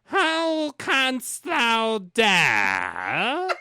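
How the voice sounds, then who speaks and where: A man's voice: extremely high pitch, huge pitch swings, bright, forceful tone, smooth, no room sound, voice pushed up, heavily acted. Man putting on an angry, wailing storybook enchantress. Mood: angry, menacing. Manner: slow, drawn-out, wailing threats. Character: angry, menacing fairy-tale enchantress woman. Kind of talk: parody audiobook performance.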